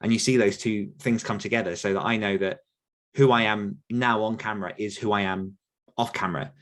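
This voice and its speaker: A man's voice: lowish voice, steady tone, fairly quick. A man, answering listener questions on a podcast in a rambling, self-correcting way. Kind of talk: casual Q&A chat.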